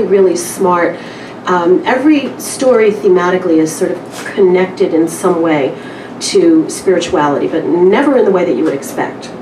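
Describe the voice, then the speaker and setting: A woman with a lowish voice speaks at a literary event in a candid, conversational manner. She is picked up from a distance in an echoing room. Her delivery is wry and informal, like a live reading among acquaintances. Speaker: publisher introducing and reading a story at a literary event. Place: indoor live event room with small audience. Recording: roomy, distant mic with clear background noise.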